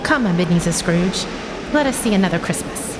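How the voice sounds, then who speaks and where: A woman playing a solemn, commanding spirit in a radio drama, speaking in a stately, formal, deliberate way. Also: fairly low pitch, animated, heavy background noise, close to an everyday voice. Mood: probing, solemn. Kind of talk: theatrical radio drama acting. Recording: long cavernous reverb. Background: music.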